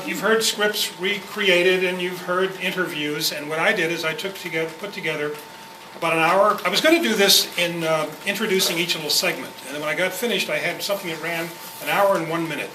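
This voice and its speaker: A man's voice: a little high, fairly even intonation, fairly quick, bright, forceful tone. A man presenting informally to a club meeting in a rambling, conversational way. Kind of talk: informal spoken introduction to a club. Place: indoor club meeting room. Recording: echoey room, far mic, clear noise.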